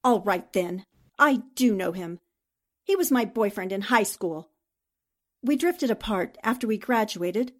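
A woman playing a defiant, sharp-witted young newspaperwoman held captive, speaking firmly and dramatically in old-time radio drama style. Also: mid-range voice, expressive, measured pace. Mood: guarded, defiant.

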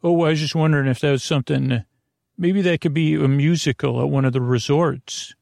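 Male sleep podcast host, higher-pitched and gravelly, halting, whimsical rambler, close dry recording. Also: fairly even intonation, dry recording. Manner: meandering, halting, digressive; gently whimsical, playing out imagined exchanges.

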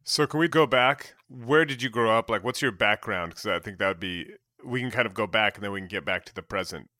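A man's voice: fairly low pitch, fairly quick, sharp tone, no echo, clean studio sound. A man hosting a casual interview, asking curious questions and giving short affirmations. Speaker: interviewer host.

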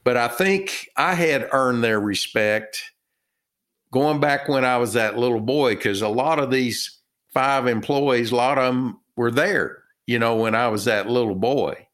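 A middle-aged man from Texas with a Texan accent, a guest telling his story in a halting way with many fillers and restarts. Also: medium pitch, a bit bright. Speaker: guest, retired business owner and author. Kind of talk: casual interview talk.

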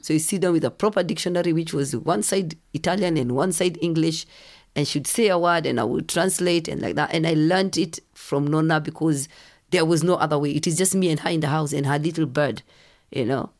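A middle-aged woman from Kenya, telling lively stories as a podcast guest and acting out the voices of people she quotes. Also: low-pitched, a little fast. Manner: animated storyteller, acts out quoted voices. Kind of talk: casual storytelling interview.

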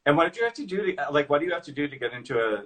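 A man's voice: on the high side, lively intonation, very fast, a bit bright. A man with an American accent, interviewing a guest in an informal, loosely phrased, conversational way. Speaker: podcast interviewer, accent coach. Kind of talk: casual interview chat.

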